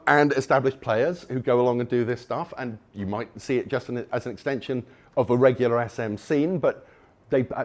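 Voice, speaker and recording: Wry, rambling man giving a talk. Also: mid-range voice. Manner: enthusiastic, wry, rambling with restarts. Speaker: conference speaker. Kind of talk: conference talk. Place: conference venue before an audience.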